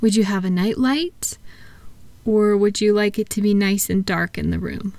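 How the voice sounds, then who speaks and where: Soft-spoken, soothing woman in ASMR roleplay. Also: medium pitch, steady tone, no room sound. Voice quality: soft, gentle, breathy at times. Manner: soothing, reassuring, unhurried, asking gentle questions. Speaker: audio roleplay performer playing a thought-calming practitioner.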